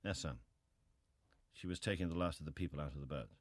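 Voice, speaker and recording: An actor playing a sea captain who gives restrained, matter-of-fact testimony in a dramatized historical hearing. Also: male voice, very deep voice, fast talker, no echo, clean studio sound. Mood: terse, restrained.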